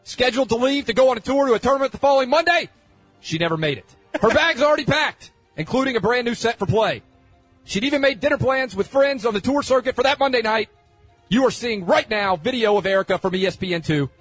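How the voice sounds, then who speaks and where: A man's voice: extremely high pitch, expressive, quick pace, a bit bright, breathy edge, no room sound, soft background music, full character voice, rough recording. A man voicing a pushy, dramatic female cable-news legal host, interrogating guests in clipped, insistent phrases as a parody reading. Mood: urgent, dramatic.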